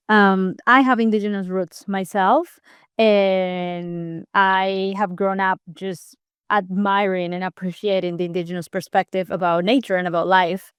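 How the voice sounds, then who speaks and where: A woman's voice: medium pitch, smooth, no room sound, studio quality. A woman from Peru, in her thirties, a scientist explaining her work earnestly in an interview, with occasional restarts. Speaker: scientist guest. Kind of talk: interview answers.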